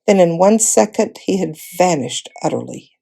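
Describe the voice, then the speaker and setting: A woman reading a children's fantasy novel aloud in an expressive storytelling style. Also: fairly low pitch, moderate pace, creaky, breathy edge. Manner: acts out dialogue in character voices. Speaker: volunteer storybook reader.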